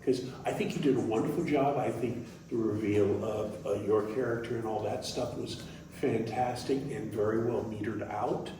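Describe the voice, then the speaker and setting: A man's voice: lowish voice, normal speed, background noise. A man in an audience giving earnest, conversational critique, often checking he is understood. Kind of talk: conversational constructive feedback. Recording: very echoey room, distant mic.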